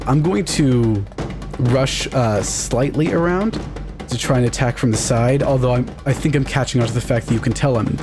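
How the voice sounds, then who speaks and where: A man describing his character's actions in a tabletop game in a deliberate, theatrical way. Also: mid-range voice. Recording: music bed under the voice.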